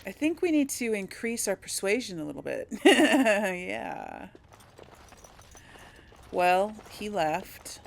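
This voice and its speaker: Slow-paced, lively woman gaming host. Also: medium pitch, gravelly, slight background noise. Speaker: let's play host. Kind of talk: casual gameplay commentary, thinking aloud.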